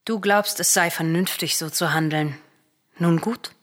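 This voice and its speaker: An actress playing a cautious, reasoning royal sister in a classical radio drama, speaking in formal, measured, admonishing verse. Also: female voice, on the low side, natural voice.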